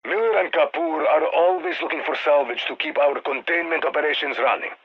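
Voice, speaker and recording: A man playing a gruff Russian squad commander in a video game, giving orders with dry, wry asides. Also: a little high, big pitch swings, a little fast, highs cut off, heavily acted. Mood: commanding, urgent. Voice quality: gravelly, husky. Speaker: mission commander giving radio briefings. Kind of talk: scripted video game voice lines. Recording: band-limited military radio sound.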